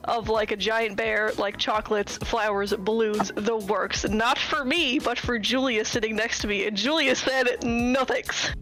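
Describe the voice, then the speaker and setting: A woman's voice: a little high, unhurried, hardly any pauses, over music, low-quality recording. A woman calling in to a casual chat, telling a story in a rambling way with lots of fillers. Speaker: caller.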